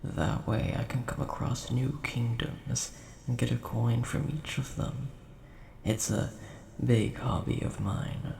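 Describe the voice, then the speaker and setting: A man voicing a large, mild-mannered, nerdy dragon with a gravelly voice and a polite, easily offended manner, in a scripted audio roleplay spoken to the listener. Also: medium pitch, darkish tone. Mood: earnest, nerdy. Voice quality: gravelly, creaky. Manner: polite, measured, mildly indignant. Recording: roomy echo, faint background hiss.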